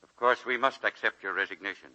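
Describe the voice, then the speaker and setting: A man's voice: lowish voice, quick pace, sharp tone, rough recording. An actor playing an idealistic old male college president, earnest and folksy, in a 1940s radio drama. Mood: kindly, folksy. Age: older.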